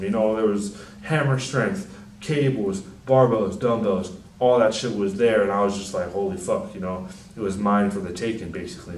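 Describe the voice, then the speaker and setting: A young man, a personal trainer, giving casual, rambling fitness advice in a deep, soft-spoken, mumbling voice, swearing freely. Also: reverberant, recorded from a distance, slight background noise, low-quality recording. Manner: laid-back, rambling, frequent swearing and fillers. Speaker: personal trainer and channel host.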